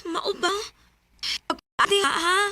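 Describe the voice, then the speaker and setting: Radio drama schoolgirl: piercingly high voice, Bisaya Filipino accent, loyal, earnest, slight background noise. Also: slow, sharp tone, exaggerated voice. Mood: worried, disbelieving. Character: young schoolgirl Sara, loyal and earnest friend.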